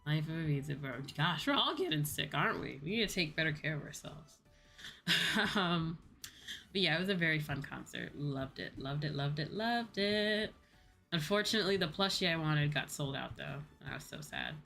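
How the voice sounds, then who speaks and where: A woman speaks in a noticeably low register. She talks like a livestreamer catching up with chat, loose and rambling in a conversational way. Soft music plays behind her. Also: very animated, moderate pace, gravelly. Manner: rambling, conversational, casual swearing. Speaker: VTuber livestreamer. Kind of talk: casual chat with livestream viewers. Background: background music.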